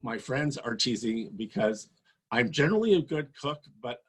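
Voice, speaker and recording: A man's voice: mid-range voice, animated, moderate pace, husky. A man in his sixties speaking passionately and emphatically as a political candidate at a campaign event. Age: 60s. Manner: passionate, emphatic, rising to forceful peaks.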